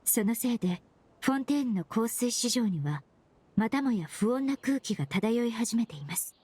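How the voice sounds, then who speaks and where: A woman playing a courteous, earnest young perfumer in an anime game, speaking formally and explaining in a measured way. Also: a little high, animated, mellow, slight background noise, close to an everyday voice. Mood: serious. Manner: polite formal speech, measured explanatory delivery. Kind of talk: Japanese anime game voice acting.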